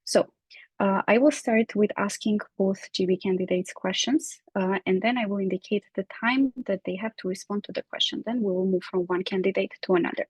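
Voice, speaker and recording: Woman, moderator, husky voice, dry close sound, orderly, procedural. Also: medium pitch, fairly even intonation, brisk, dry recording, rough recording. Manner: orderly, procedural, frequent "uh" fillers. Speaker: event moderator. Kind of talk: online town hall moderation.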